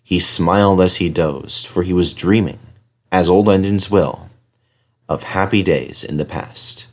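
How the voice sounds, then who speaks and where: A man reading a children's story aloud as an expressive narrator. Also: low-pitched, unhurried. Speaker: storybook reader.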